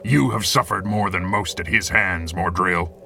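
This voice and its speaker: A low-voiced villain of 1930s radio drama, with a gravelly edge. He speaks deliberately and formally, a persuasive organiser of schemes with a forceful delivery. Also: heavily acted. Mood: measured, persuasive. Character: male villain, measured organiser of a villains' alliance.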